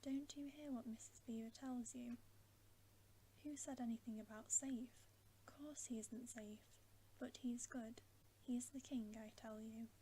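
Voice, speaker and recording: A stern, loyal talking beaver from a storybook , put on by a woman. He speaks in a slow, earnest hush, stressing his words, with a soft mellow tone. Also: a little high, steady tone, slight background noise, natural voice, poor audio. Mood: emphatic, assuring. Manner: emphatic, earnest, hushed. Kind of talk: whispered storybook character reading.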